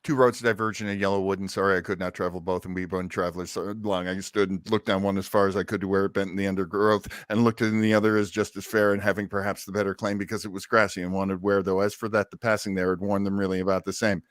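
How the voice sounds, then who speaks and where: A man's voice: sits low, level tone, quick pace, hardly any pauses, no room sound, clean studio sound. A man hosting a podcast in casual, jokey chat. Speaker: podcast host.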